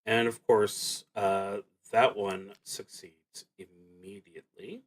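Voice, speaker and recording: A man streaming a solo tabletop roleplaying game, thinking aloud in a halting, reflective way as he works through his moves. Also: on the low side, fairly even intonation, poor audio.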